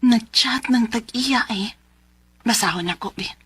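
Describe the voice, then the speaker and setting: An actress with a Cebuano accent playing an aggrieved, excitable woman in her twenties in a radio drama. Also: on the high side, unhurried, slight background noise. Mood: indignant. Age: 20s. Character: aggrieved young woman, chatty and excitable, telling her troubles. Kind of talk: Filipino radio drama acting and narration.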